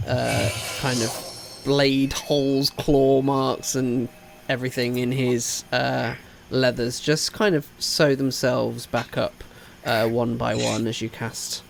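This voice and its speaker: British man, game master narration, gravelly mid-range voice, unhurried, faint background noise. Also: mid-range voice.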